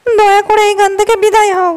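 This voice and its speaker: A woman voicing a proud, defiant young princess in a cartoon, speaking in a sharp, scornful way. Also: very high voice, little pitch movement, a little fast. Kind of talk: cartoon voice acting.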